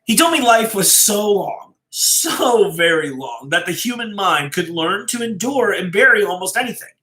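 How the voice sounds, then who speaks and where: A man reading a suspenseful story aloud as a storyteller, in a measured, dramatic way with pauses. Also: high-pitched, expressive, pushed and bright. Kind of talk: live dramatic story reading, first-person narration. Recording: roomy, echoey live-stream sound.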